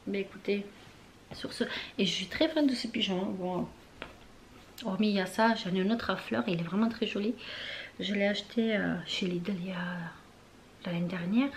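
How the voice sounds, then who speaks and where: A woman's voice: medium pitch, moderate pace, pauses often, slight background noise. Chatty young woman, drifting into whispers. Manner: chatty and rambling, many fillers and trailing phrases; often drops into a soft whisper. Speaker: beauty vlogger. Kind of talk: casual chat to camera. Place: her bedroom at home.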